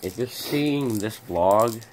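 A man's voice: lowish voice, expressive, slow pace, darkish tone, poor audio. A teenage boy joining his friend's vlog in a bedroom, teasing and matter-of-fact. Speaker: co-host friend. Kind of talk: casual vlog chat.

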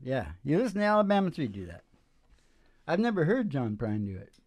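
A man's voice: a little high, big pitch swings, a little fast, darkish tone. An older man in casual, rambling, jokey stage banter as a music show host, speaking into a stage microphone. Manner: rambling, jokey, with frequent chuckles. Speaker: host of a music podcast and singer. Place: small curtained indoor stage. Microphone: stage microphone on a stand. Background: acoustic guitar.

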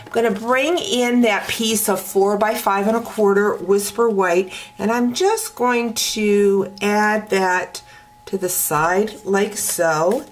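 A woman teaching card making step by step at her craft table, talking in a relaxed way with many ums and uhs. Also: mid-range voice. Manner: slow, relaxed talk with frequent ums and uhs.

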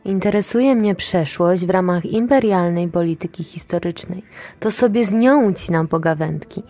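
A woman's voice: fairly low pitch, moderate pace, warm tone, faint music behind, close to an everyday voice. An actress playing a wary, cynical, sweary woman moonlighting in underground sex work, in a radio play. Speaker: actress in an audio drama.

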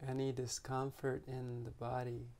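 A man leading a guided meditation as its teacher, speaking gently and unhurriedly with long pauses between phrases. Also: mid-range voice, monotone.

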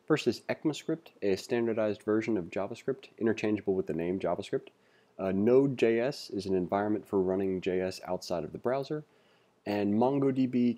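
Man, lowish pitch, relaxed mellow tone, casual tutorial read-along, informal asides. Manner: informal, talks to the viewer with asides. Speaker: tutorial host.

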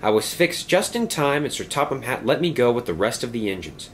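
A man voicing a young, eager male tank engine who talks excitedly. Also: medium pitch, a little fast, a little hiss or hum, natural voice.

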